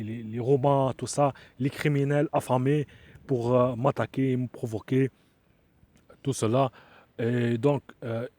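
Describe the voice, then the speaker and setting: Man in a direct-to-camera appeal, insistent but halting, warm low timbre. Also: no echo. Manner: hesitant, halting, many fillers and restarts; earnest and insistent. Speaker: asylum seeker making an appeal video.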